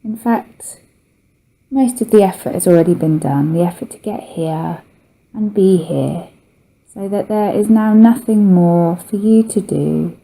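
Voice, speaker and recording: A woman guiding a relaxation meditation as a yoga nidra teacher, speaking in a soothing, gentle, unhurried way with long pauses. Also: fairly low pitch, vocal fry, poor audio.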